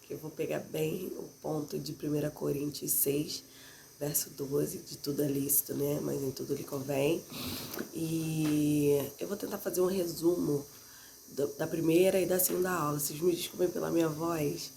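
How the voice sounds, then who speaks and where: A woman leads a Bible lesson with a stuffy, nasal voice, hoarse from a cold and carrying a gravelly rasp. She talks conversationally and keeps checking in with her listeners by tagging "né?" onto her sentences. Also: sits low, level tone, moderate pace, dark tone, a little hiss or hum. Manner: conversational, frequently adds "né?" tag questions. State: congested and slightly hoarse from a cold. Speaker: Bible teacher. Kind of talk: Bible class teaching.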